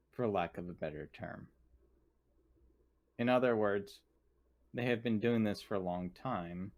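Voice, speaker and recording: A man playing a coolly analytical, formal company official in a tabletop roleplay, speaking deliberately and precisely. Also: lowish voice.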